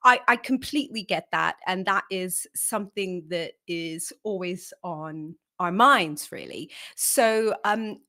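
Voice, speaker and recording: A woman's voice: fairly low pitch, slow pace, hardly any pauses, sharp tone. A woman from Portugal speaking conversationally to a livestream audience, with a chatty, informal storytelling delivery. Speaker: conference speaker.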